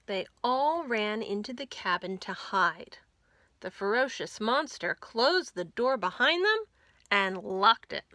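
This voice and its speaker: A woman reading a picture book aloud for young children, expressive and deliberate, with dramatic emphasis. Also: mid-range voice, no echo. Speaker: children's librarian reading storytime.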